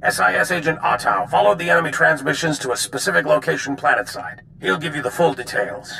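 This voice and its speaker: Gravelly, forceful alien general. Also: male voice, on the high side, quick pace, a little hiss or hum. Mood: brisk, commanding. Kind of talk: video game acting.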